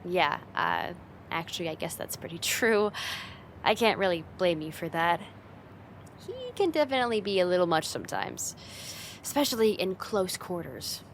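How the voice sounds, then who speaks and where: A woman's voice: lowish voice, very animated, normal speed, a little hiss or hum. A woman playing a friend's teasing older sister in a casual, conversational ASMR roleplay spoken straight to the listener. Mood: chatty, exasperated.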